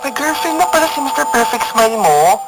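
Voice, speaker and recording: A woman's voice: on the high side, very animated, fairly quick, rough recording. A woman with a Filipino accent playing a nosy, teasing young college friend in radio drama style, with sassy Taglish slang. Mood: scandalised. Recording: music bed behind dialogue.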